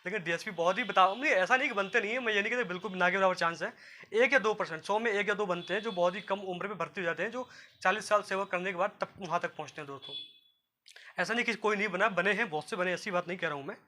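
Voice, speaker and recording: Fast, high-pitched man explaining. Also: pushed and bright. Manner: repetitive step-by-step explaining, addressing listeners as friends. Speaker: presenter of an exam and career guidance video. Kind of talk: informal explainer addressed to viewers.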